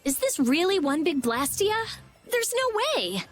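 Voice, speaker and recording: A woman voicing a brash, prickly teenage girl genius in a video game, brusque and excitable. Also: high voice, unhurried, soft background music. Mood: amazed. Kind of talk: English video game voice acting.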